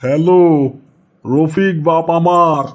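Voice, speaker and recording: A man's voice: fairly high pitch, takes it slowly. A man voicing a proud, boastful old village father in a cartoon, with a Bangladeshi accent. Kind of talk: cartoon dialogue.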